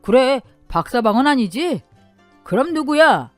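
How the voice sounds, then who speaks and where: A woman's voice: high voice, very animated, unhurried, dry recording, soft background music, pitched above the performer's own voice. A woman voicing a stingy, strict older father-in-law who barks scolding commands in a radio-style story. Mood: stern.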